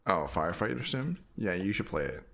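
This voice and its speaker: A man's voice: low voice, sing-song, a little fast, creaky, fairly bright tone. A man co-hosting a gaming podcast in casual chat among friends.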